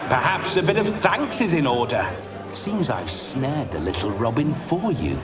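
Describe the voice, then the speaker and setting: A man's voice: medium pitch, very animated, measured pace. An actor playing a boastful, lecherous male ghost in a video game, with a swaggering, mocking delivery. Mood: smug. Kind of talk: video game dramatic acting. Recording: distant voice under loud music.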